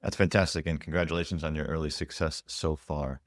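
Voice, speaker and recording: Podcast host guy, really deep voice, dry close mic, upbeat and friendly. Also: steady tone, brisk, no echo. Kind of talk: scripted intro and interview questions.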